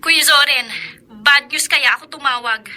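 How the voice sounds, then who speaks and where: A woman's voice: high-pitched, normal speed, pushed and bright, a little hiss or hum. A woman playing a resentful, tattling young Filipino granddaughter in a radio drama, with a whiny manner.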